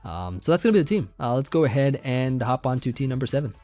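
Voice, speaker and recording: Relaxed rambling young man, slightly hoarse. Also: medium pitch, fast, no room sound, faint music behind. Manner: relaxed, rambling, many fillers and restarts, light laughs. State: getting hoarse. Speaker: competitive Pokemon team builder. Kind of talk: casual gaming commentary over team building.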